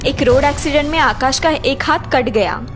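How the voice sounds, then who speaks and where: A woman's voice: high-pitched, moderate pace, soft background music. A woman narrating a scripted story for children.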